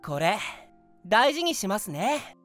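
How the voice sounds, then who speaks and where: A woman voicing a cheerful, affectionate young male office junior in an anime-style voice drama, polite, playful and expressive. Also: medium pitch, slow, soft background music. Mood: playful, pleased.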